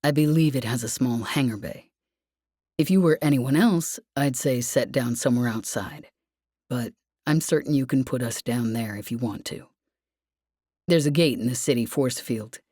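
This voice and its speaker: A woman voicing a gentle, apologetic man, soft-spoken and sincere, in an audiobook story. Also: very deep voice, dry recording, clean studio sound, pitched below the performer's own voice. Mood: calm, businesslike. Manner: halting, soft-spoken, rueful.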